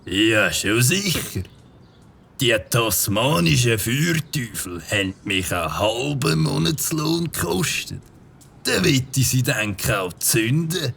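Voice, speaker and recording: A carefree, sing-song motorbike tinkerer, played by a man speaks in a broad Swiss German accent. His voice is gravelly and bounces through a wide melodic range. He talks at an unhurried pace, as in a children's audio drama. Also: mid-range voice, sing-song, fairly bright tone, a little hiss or hum, full character voice. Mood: breezy. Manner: cheerful, carefree. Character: jolly middle-aged male motorbike tinkerer.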